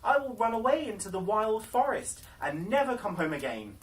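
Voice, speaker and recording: A storyteller voicing an innocent, frightened young princess in a storybook, gentle and pleading. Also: medium pitch, big pitch swings, normal speed, recorded from a distance, slight background noise, voice pushed up, natural voice. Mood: tearful pleading.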